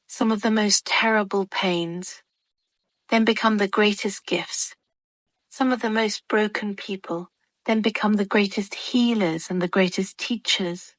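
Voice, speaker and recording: A woman leading a guided meditation with soothing, calm narration in short, measured phrases and gentle pauses. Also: medium pitch, no echo.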